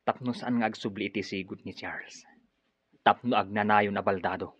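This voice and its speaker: An actor playing a scheming, gloating villainous cousin in a radio drama, with a sly manner and a Filipino accent. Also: male voice, on the low side, fairly even intonation, moderate pace, a bit bright, breathy edge, dry recording. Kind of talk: Ilocano radio drama acting.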